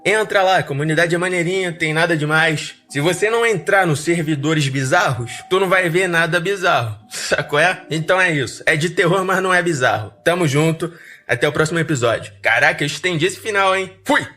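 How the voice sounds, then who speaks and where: Bright-voiced Brazilian man, higher pitched. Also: brisk. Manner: informal, slangy, enthusiastic. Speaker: horror podcast host and narrator. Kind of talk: casual outro chat to listeners. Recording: music behind the voice. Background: music.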